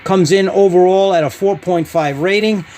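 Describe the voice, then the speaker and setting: A man presents a product review as a podcast host. His voice sits a little higher than most men's, and he delivers information in short, clipped, halting phrases. A faint layer of background noise runs under the recording. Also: darkish tone.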